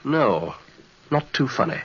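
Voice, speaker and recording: Animated, wry young lieutenant, old radio. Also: male voice, medium pitch, slow pace, slightly rough, full character voice. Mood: puzzled, irritated. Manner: wry, bantering. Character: flippant young lieutenant, visiting cousin from abroad. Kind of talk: old-time radio drama acting. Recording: dull lo-fi old radio recording.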